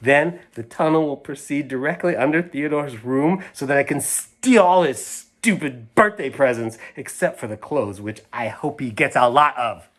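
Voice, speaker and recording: A woman's voice: extremely low pitch, normal speed, few pauses. Storybook role: sulky drama-queen little girl, voiced by a man, haughty and emphatic, every grievance precisely stated. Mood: scheming. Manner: pompous, emphatic, over-precise declarations.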